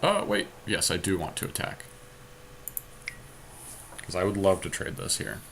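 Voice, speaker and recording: A man's voice: low-pitched, expressive, measured pace, a bit bright, slight background noise. A man chatting casually as a podcast host, thinking aloud through an online card game with his co-host.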